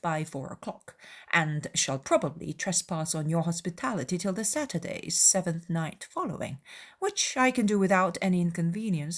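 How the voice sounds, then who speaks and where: A woman voicing a pompous, obsequious young clergyman's formal letter, deliberate and self-important, in an audiobook dramatization. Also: lowish voice, natural voice.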